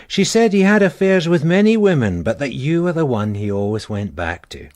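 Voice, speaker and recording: An actor playing a blunt, dogged detective sergeant in a radio crime drama, probing and plain-spoken. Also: male voice, on the high side, big pitch swings, moderate pace, darkish tone. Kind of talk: naturalistic radio drama dialogue.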